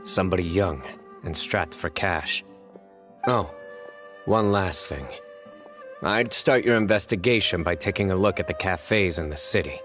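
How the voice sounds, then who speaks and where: A low-voiced stern young judge. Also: male voice, faint music behind. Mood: deductive. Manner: measured, deductive, calm. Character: stern young male desert judge, sharp investigator.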